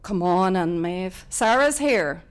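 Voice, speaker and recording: A woman voicing a hospitable, no-nonsense mother in a story reading, brisk and homely, with a Northern Irish accent. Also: lowish voice, lively intonation. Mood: calling out.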